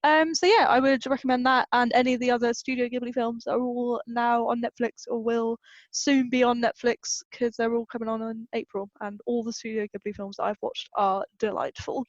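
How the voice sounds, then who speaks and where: A young British woman with a high voice chats casually and playfully, poking fun at herself. The sound is dry but a little dull and lo-fi, like a home podcast. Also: level tone, fairly quick, dry recording. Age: 20s. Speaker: podcast co-host. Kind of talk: casual chat.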